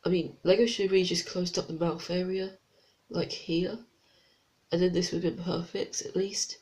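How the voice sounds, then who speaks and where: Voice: mid-range voice, little pitch movement, normal speed, darkish tone, rough recording. A reviewer with a blocked, congested nose, chatting casually through a toy set review with unsure, rambling asides. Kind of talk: casual product review vlog.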